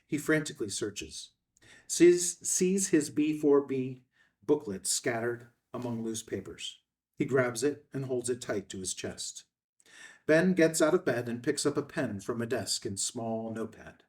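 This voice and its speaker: Measured male script narrator, distant mic. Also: medium pitch, expressive, a little mellow. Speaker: narrator reading stage directions. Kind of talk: scripted narration at a table read.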